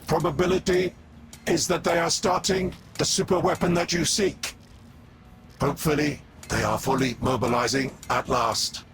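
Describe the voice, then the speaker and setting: A man's voice: fairly high pitch, fairly even intonation, moderate pace, a bit bright, a little hiss or hum, natural voice. A man playing a pedantic, coldly logical cyborg tech-priest in a video game, with a formal, scornful delivery. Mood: weary, disdainful. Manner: clipped, formal, disdainful. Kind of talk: video game character acting. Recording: clear game music behind.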